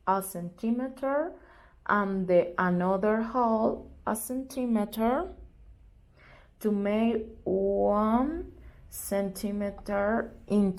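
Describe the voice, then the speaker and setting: A woman's voice: medium pitch, darkish tone, roomy sound. A Colombian woman, a native Spanish speaker, explaining a sewing project step by step in careful, hesitant English with a Colombian accent. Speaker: sewing tutor. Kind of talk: sewing tutorial narration.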